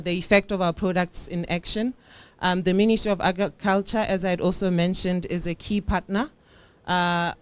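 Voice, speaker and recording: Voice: medium pitch, clean tone, no room sound. A young entrepreneur from Botswana presents their business at a conference, hesitating often with fillers. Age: young adult.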